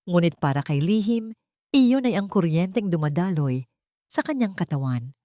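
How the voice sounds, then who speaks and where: A woman's voice: lowish voice, lively intonation, moderate pace, dark tone, no room sound, clean studio sound. A woman with a Filipino accent narrating a scripted dramatic story.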